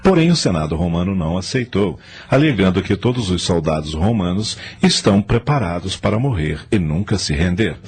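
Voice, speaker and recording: A man's voice: deep voice, big pitch swings, a little fast, hardly any pauses, darkish tone, slight background noise. A man narrating a radio drama in a formal, scripted storytelling manner.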